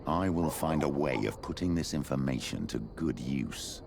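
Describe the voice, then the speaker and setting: A calculating older priest in a game, with an extremely low, creaking voice. He speaks formally and measures his words, over loud background noise. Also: lively intonation, moderate pace, full character voice. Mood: measured, calculating. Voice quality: gravelly, very deep.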